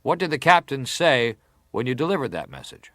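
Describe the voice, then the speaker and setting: Formal senator, probing. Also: male voice, mid-range voice, sing-song, brisk, a bit bright, dry recording, studio quality. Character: persistent, formal senator questioning a witness at an inquiry. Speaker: interrogator at a hearing. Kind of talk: dramatised reading of hearing testimony.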